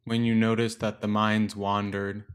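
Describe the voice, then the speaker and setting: Male meditation guide, fairly low voice, gentle instructional delivery with spacious pauses. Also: monotone, clear, resonant tone.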